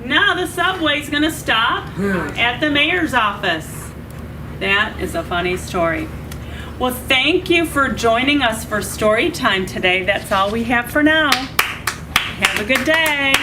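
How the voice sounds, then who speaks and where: A woman reading a children's story aloud to a group, with expressive, emphatic delivery. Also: on the high side, slow pace, background noise.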